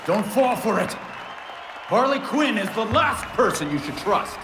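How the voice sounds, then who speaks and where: A defiant video game crime-fighter with a high-set male voice. His delivery is wary and slow but varied, with noisy ambience behind him. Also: very animated, a crowd in the background, full character voice. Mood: urgent warning. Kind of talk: video game cutscene acting.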